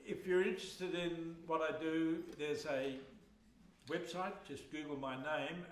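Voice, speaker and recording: An older Australian man, a folk performer, chatting softly and rambling between songs at a live concert, full of fillers. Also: on the high side, slight background noise. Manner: soft-spoken, rambling, many fillers. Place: small live music hall with an audience. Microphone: no microphone, recorded from the hall. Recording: echoey hall, voice heard from far off. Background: acoustic instruments playing.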